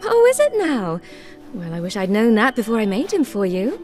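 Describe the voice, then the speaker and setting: Animated game mother: soothing, playfully teasing, lilting range, music behind. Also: medium pitch, moderate pace. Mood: tender. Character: gentle, teasing young mother of small boy. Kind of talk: animated video game voice acting. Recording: background music under the voice.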